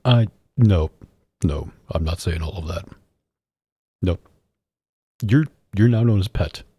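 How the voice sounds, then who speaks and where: A man playing a domineering, sardonic mafia boss in an intimate ASMR roleplay, deliberate and commanding, deep and husky, close on a studio microphone. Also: mellow, dry recording, heavily acted. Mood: stern, commanding.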